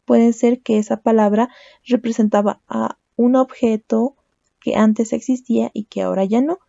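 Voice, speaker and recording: A woman's voice: on the high side, fairly even intonation, normal speed, gravelly, warm tone, dry recording, highs cut off. A young woman teaching a language lesson, explaining step by step and often checking with a questioning "¿no?". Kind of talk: recorded lecture.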